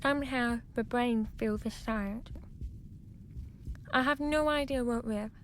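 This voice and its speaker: A woman plays an introspective girl in a reflective radio monologue. She speaks slowly and pensively in a fairly high voice, over faint background noise. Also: natural voice. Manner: measured, pensive. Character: introspective deaf girl narrating her inner thoughts.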